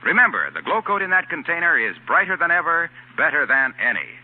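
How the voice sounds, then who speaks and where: A man's voice: a little high, big pitch swings, brisk. A man working as a radio announcer, reading introductions and sponsor copy with polished, emphatic 1940s salesmanship. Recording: tinny 1940s radio broadcast.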